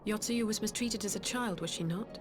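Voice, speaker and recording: A blunt, sharp-tongued female warrior, voiced by a woman, who gets straight to the point. Game music plays clearly behind her. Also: medium pitch, a little fast, natural voice. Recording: game dialogue over background music.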